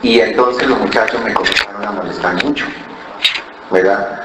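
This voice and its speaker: A man's voice: fairly low pitch, normal speed, echoey room, heavy background noise. A man, a pastor with an accent from Cali, Colombia, preaching a sermon and telling a personal story, often asking "¿verdad?". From born in Ecuador, raised in Colombia. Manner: storytelling, mimics other voices, frequent "¿verdad?". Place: church.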